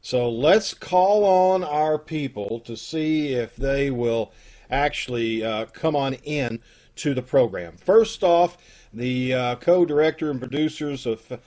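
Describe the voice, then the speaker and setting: A man hosts a live video call from his home. His voice sits at an ordinary middle pitch, and he talks in a loose, folksy way with plenty of fillers. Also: takes it slowly, mellow. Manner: folksy and rambling, with many fillers. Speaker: host of a community theater troupe.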